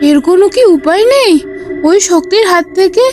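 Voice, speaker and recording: Woman voicing a very high-pitched menacing shadow sorceress. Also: expressive, moderate pace, over music. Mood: reverent, pleading. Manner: theatrical, threatening. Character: evil shadow sorceress, envious, menacing and vengeful. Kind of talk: children's fairy tale cartoon dialogue.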